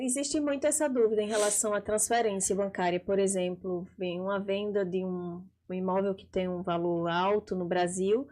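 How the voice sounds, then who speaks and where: A woman's voice: mid-range voice, darkish tone. A woman with a Brazilian accent co-hosting a live discussion, talking conversationally and framing practical cases for viewers. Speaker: co-host of a live show.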